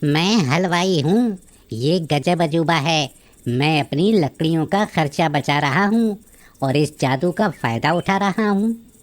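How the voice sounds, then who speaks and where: A man playing a sly sweet-maker who is secretly a conjurer, deliberate and bargaining, in a cartoon moral story. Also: a little high, lively intonation, no echo. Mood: sly, boastful.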